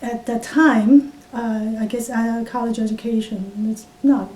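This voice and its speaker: A woman in her fifties from Taiwan with a Taiwanese accent, speaking English as a second language and recalling her past in an oral history interview, halting with many fillers and restarts. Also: steady tone, darkish tone. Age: 50s. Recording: roomy, distant mic with faint rumble.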